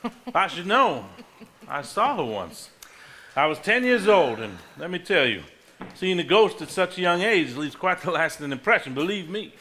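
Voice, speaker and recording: A man playing an agreeable, earnest younger brother in a Southern stage drama, animated and insistent. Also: high-pitched, moderate pace, heavily acted. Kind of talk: live stage drama acting.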